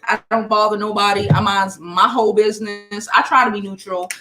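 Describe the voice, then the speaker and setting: A woman's voice: mid-range voice, fairly even intonation, fairly quick, pushed and bright, roomy sound, faint music behind. An American woman giving casual gossip commentary on a livestream, talking in a sassy, blunt, slangy way. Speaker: YouTube livestream commentator and host.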